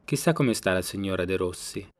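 A man's voice: deep voice, expressive, fairly quick, noisy background. A man in his late thirties from Rome, reading scripted first-person radio narration. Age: late 30s. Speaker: documentary author and narrator.

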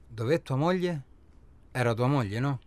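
A man's voice: medium pitch, lively intonation, moderate pace, a little mellow, lightly acted. An actor playing a blunt, guarded male stranger in a radio drama, speaking in terse questions and short replies. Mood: probing. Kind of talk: naturalistic radio drama acting.

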